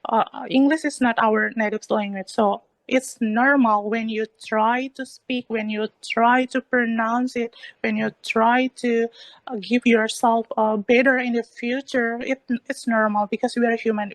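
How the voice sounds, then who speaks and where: A woman's voice: a little high, steady tone, unhurried, no room sound. A woman from Indonesia with an Indonesian accent, a native Indonesian speaker, talking earnestly and encouragingly in an online discussion, often repeating her phrases. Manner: hesitant, repetitive phrasing in earnest, encouraging talk. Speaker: English learner in an online discussion group. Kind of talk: motivational discussion in an online meeting.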